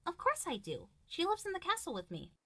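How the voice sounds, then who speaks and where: A woman voicing a gracious, friendly pony princess in an animated fan drama, polite and welcoming. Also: very high voice, fairly quick, a crowd in the background, heavily acted.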